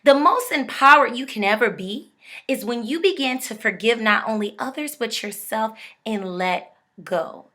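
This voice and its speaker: Young woman doing a preachy motivational talk to camera, bright punchy voice, kinda high. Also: lively intonation, normal speed. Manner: preachy, emphatic, addresses viewers directly. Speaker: motivational speaker.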